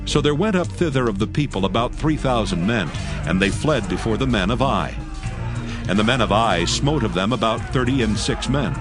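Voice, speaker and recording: Low-voiced male scripture narrator. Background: music.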